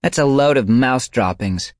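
A man's voice: medium pitch, moderate pace, dry recording, studio quality, voice pushed up, close to an everyday voice. A man voicing a slim young she-cat who snarls and talks with sarcasm and fiery scorn. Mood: angry.

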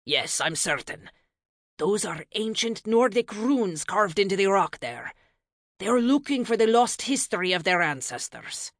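An eager, bookish young lad played by a woman narrator from a fantasy audiobook. He is excitable and earnest with a sassy streak, and his pitch leaps around in a close, dry recording. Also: measured pace, dry recording. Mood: confident.

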